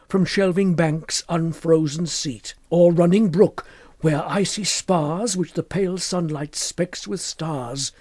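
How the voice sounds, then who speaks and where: Man, poetry reader, measured deliberate delivery, animated intonation, close dry recording. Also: high voice, no room sound. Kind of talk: expressive literary poetry recitation.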